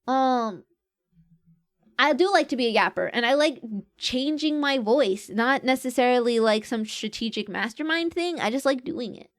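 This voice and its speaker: A chatty woman talking casually on a stream, with a somewhat high voice. She rambles with many fillers and restarts. Her pitch rises and falls freely with what she is feeling. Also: expressive, moderate pace. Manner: pitch swings widely with mood.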